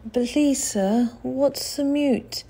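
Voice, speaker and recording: A woman's voice: high-pitched, slow pace, dark tone, breathy edge, pitched above the performer's own voice, exaggerated voice. A woman voicing a small, frightened but defiant orphan boy in a storybook, pleading and insistent. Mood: timid, polite.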